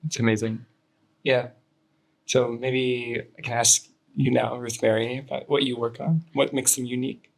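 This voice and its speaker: A young conservation biologist chatting casually and quickly, full of fillers. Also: male voice, mid-range voice, little pitch movement, gravelly, low-quality recording. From Australia. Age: young adult. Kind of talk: casual conversation.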